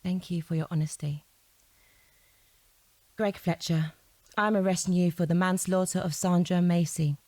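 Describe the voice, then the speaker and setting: Mellow, probing female detective, played by an actress. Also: on the low side, fairly even intonation, normal speed, long pauses, no room sound, natural voice. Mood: firm, pressing. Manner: probing, controlled, insistent. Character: shrewd, composed female police detective interrogating a suspect. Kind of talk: audio drama dialogue.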